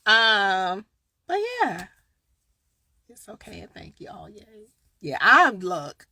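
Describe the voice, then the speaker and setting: Southern woman grief coach live-streaming, bright and dry, loud bursts then soft asides, a bit tired. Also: medium pitch, takes it slowly, breathy edge, no echo. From Southern United States. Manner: chatty and expressive, swinging from loud bursts to quiet asides. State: a little tired. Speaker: grief coach and podcast host. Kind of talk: casual live-stream chat answering viewers.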